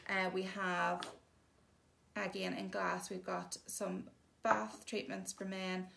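A woman's voice: medium pitch, little pitch movement, slow, vocal fry, sharp tone, slight background noise. A woman giving a chatty, casual demonstration of bathroom products, explaining each one plainly with frequent ums. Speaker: shop presenter showing products.

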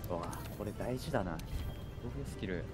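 A man's voice: low voice, expressive, brisk. A man gaming and chatting casually with a co-player as a streamer, teasing with dry retorts. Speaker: game streamer. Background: game music.

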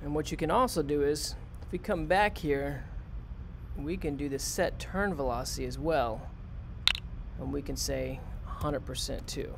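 A man's voice: on the high side, moderate pace, gravelly, background noise. A man, a teacher, walking his class step by step through a robot programming task in a screen-recorded lesson. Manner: plain, step-by-step, talks students through it.

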